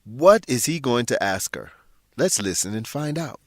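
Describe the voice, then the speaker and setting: A man hosting a radio drama for English learners, narrating from a script and putting clear questions to the listeners. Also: mid-range voice, sing-song, normal speed, no room sound.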